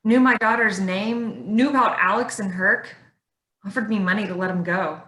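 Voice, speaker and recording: A woman's voice: mid-range voice, normal speed, pushed and bright, lightly acted. A woman playing a brash, blunt mother turned kidnapper in a staged play reading. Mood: blunt, defensive. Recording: echoey room.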